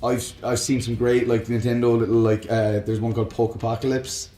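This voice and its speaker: A man's voice: medium pitch, level tone, fairly quick, warm tone, soft background music. Man chatting with rambling enthusiasm, roomy echo. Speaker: podcast co-host. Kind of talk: casual chat about film and games.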